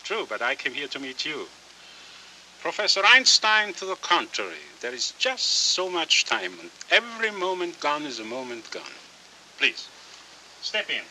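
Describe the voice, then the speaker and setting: An actor playing a suave, wordy gentleman villain in a 1940s film noir, courtly, florid and deliberate, on a hissy old soundtrack. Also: on the high side, very animated, exaggerated voice, rough recording. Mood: courtly, insistent.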